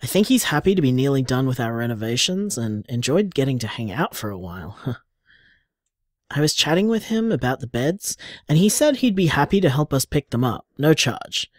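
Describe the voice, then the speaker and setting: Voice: mid-range voice, very animated, normal speed, no room sound, clean studio sound. An actor playing an affectionate, emotional partner leaving candid, conversational voice messages for a lover in an audio drama. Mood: affectionate, relaxed. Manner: candid, tender, conversational, sighing, mild swearing.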